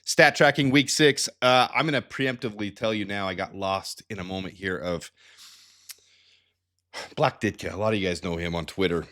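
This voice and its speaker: A man's voice: on the low side, moderate pace. A man hosting a sports analysis show, talking casually through stats with a slightly nasal, stuffed-up sound from a head cold. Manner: energetic, chatty, with frequent restarts and asides. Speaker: sports channel host.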